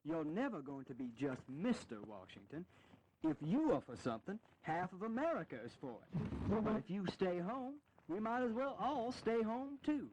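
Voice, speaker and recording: A high-pitched, swooping male voice of an earnest colonial-era governor. He argues urgently and persuasively in a historical audio drama, over faint noise. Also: sing-song, moderate pace, darkish tone, rough recording. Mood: pleading. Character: earnest, persuasive eighteenth-century state governor, a gentleman delegate.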